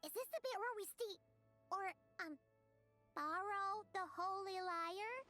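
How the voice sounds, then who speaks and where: A woman voicing a small, excitable, childlike fairy companion in a video game, blunt and curious with a squeaky voice. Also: measured pace, faint music behind, full character voice. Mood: curious, cheeky. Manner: exclamatory, cheeky, refers to self in third person. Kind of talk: animated video game voice acting.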